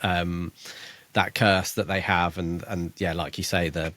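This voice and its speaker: A man's voice: low voice, level tone, measured pace, a bit bright, no echo. A man co-hosting a podcast, talking through a book in casual chat, hesitant and musing, now and then acting out lines with a laugh. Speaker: podcast co-host.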